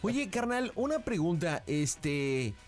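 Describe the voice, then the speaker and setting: Teasing Mexican radio host. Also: male voice, high voice, sing-song, takes it slowly. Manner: teasing, laughing banter. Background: music.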